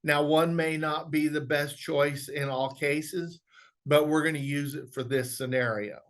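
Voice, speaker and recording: A man presenting an instructional software walkthrough, explaining step by step in a conversational way. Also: a little high, fairly even intonation, a little slow. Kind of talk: instructional screen walkthrough, informal webinar.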